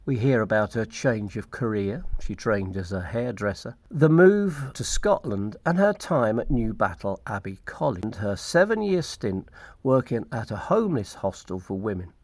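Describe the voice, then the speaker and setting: A man's voice: lowish voice, very animated, normal speed, hardly any pauses, darkish tone. A man hosting a podcast and interviewing a guest, encouraging her with short affirmations. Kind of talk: friendly interview.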